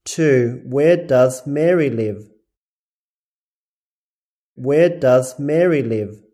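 A man slowly reading English listening-test items with careful, deliberate enunciation. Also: mid-range voice, dark tone.

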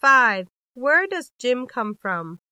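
A woman with a fairly high voice reads English listening-test lines. She goes rather slowly, enunciating each word with care as scripted lesson material, and the sound is dry and close. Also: very animated, sharp tone, clear, resonant tone, no echo. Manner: measured, careful enunciation. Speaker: exam listening test reader.

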